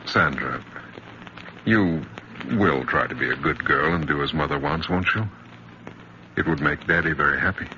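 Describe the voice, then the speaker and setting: A soothing, devoted father in a vintage radio play. His voice is low and forward, earnest and reassuring, with the narrow crackle of an old broadcast. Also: moderate pace, long pauses, bright, forceful tone. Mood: gentle, coaxing. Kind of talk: 1950s radio drama acting. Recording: scratchy, band-limited vintage radio recording.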